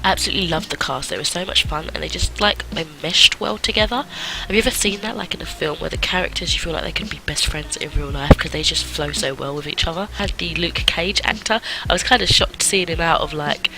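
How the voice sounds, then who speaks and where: A man with a cold talks through his month of films in a chatty, self-mocking vlog. His voice sits a little high for a man. The recording carries a steady hiss and small clicks behind him. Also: fast talker, creaky. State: has a cold. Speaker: film review vlogger. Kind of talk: casual monthly film wrap-up.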